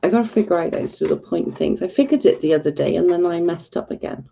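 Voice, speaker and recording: Welsh female streamer, fed-up and blunt, slightly low and raspy, muffled stream audio. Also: fast. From Wales. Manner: blunt and exasperated, talking to chat. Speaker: livestream host. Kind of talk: casual livestream chat.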